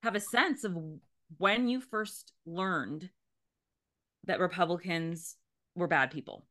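A woman's voice: lowish voice, slow, a bit bright. An American woman from California in a casual podcast discussion, earnest and questioning, often restarting her sentences as she thinks. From California Bay Area, United States. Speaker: podcast guest, counselor educator.

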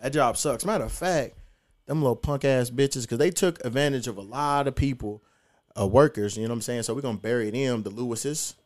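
A man's voice: mid-range voice, fairly quick, a little mellow. A young man, hosting a podcast and telling stories in a laid-back, profane, slangy way. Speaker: podcast host. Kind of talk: casual podcast storytelling.

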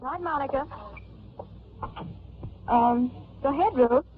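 A woman's voice: sits high, takes it slowly. An actress playing a coolly composed, scheming young career woman in a vintage radio drama. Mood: brisk, skeptical. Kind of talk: 1940s-50s radio drama acting. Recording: tinny, hissy old radio recording.

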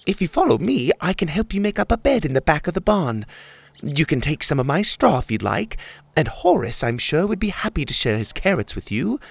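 A man voicing a kind, motherly hen in a soft, pleasant, higher voice. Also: very animated, a little fast, gravelly, husky, dry recording, heavily acted.

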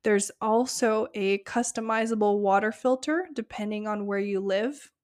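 A woman hosting a solo health podcast, her voice a bit hoarse and giving out, talking casually through lists with small fillers. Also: medium pitch, moderate pace, a little mellow. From United States. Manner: conversational, list-like explanations with fillers. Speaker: podcast host, gut health practitioner.

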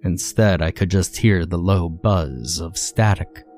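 A man narrating a scripted first-person horror story in a measured, deliberate, dramatic way. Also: low-pitched, a little mellow, faint music behind.

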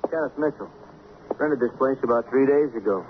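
A man's voice: mid-range voice, fairly quick, lightly acted. A plain-spoken police detective, acted by a man in a 1950s radio drama. He reports in clipped, matter-of-fact phrases. The recording is thin and tinny with light noise.

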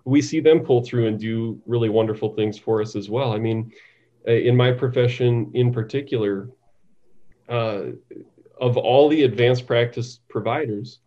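A man speaking as an interview guest, thoughtful and halting, often repeating words as he talks conversationally. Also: mid-range voice, mellow, muffled recording. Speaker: interview guest, psychiatric nurse practitioner.

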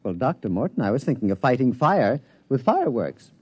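An actor playing an earnest, resourceful observatory scientist, polite and articulate, in old-time radio drama style. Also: male voice, medium pitch, sing-song, brisk, husky, no echo.

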